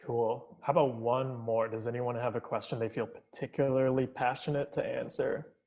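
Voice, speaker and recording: Man, 26, panel moderator; casual, halting, mid-pitched. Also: flat delivery, vocal fry, darkish tone. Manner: halting, repeats words while thinking.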